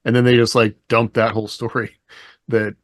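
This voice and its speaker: A man's voice: mid-range voice, level tone, moderate pace, no room sound, studio quality. A man co-hosting a podcast, talking informally and thinking aloud in a casual panel discussion. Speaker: podcast co-host.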